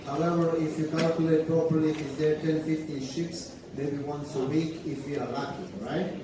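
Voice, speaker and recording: A man's voice: fairly high pitch, level tone, normal speed. Man joking in echoey hall. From Croatia. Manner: joking, chatty, often adds "right?". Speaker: ship's captain. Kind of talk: speech to crew on stage. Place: large theater hall on a cruise ship. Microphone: handheld, filmed from far in the audience. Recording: echoey hall from far off, noisy.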